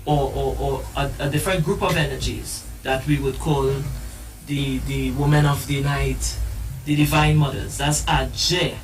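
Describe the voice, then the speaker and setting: A man's voice: mid-range voice, fairly even intonation, measured pace, distant mic, poor audio. A man, a musician, explaining his music earnestly in a live-stream interview, with frequent restarts. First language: English. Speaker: guest musician.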